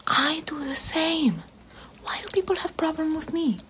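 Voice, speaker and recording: A woman in an ASMR roleplay voicing a sly, flattering female poison seller in Renaissance Rome, with a soft whispery voice and calm menace, close to the mic. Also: high-pitched, measured pace. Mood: coaxing whisper. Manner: sweet-talking, with darkly calm menace. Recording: steady background hiss.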